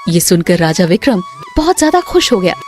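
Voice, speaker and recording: A woman narrating a scripted cartoon story in an expressive storyteller's manner. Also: on the low side, fairly quick, faint music behind.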